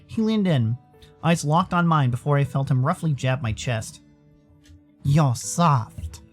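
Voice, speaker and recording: A man's voice: on the high side, animated, normal speed. An American man reading scripted story narration with plenty of expression. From Arizona, United States. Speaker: visual novel narrator. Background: faint music.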